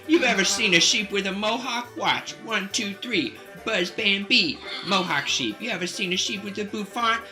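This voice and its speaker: A woman's voice: medium pitch, moderate pace, few pauses, pushed and bright, faint music behind, close to an everyday voice. A woman playing a brash, boastful young boy who barbers sheep, with punchy showmanship in a comic radio commercial. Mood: cocky.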